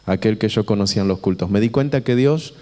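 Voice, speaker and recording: Male visiting preacher, Argentine accent, dark soft-edged tone, informal and halting, long auditorium reverb. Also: fairly low pitch. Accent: Argentine Spanish. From Buenos Aires, Argentina. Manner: conversational, halting, repeats phrases and checks in with listeners. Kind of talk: informal sermon to a congregation. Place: church auditorium. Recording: reverberant hall with long echo.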